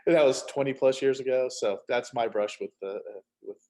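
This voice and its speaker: A middle-aged man chatting casually as a guest, halting and rambling, with wry self-deprecation. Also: mid-range voice, dark tone. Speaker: guest, author.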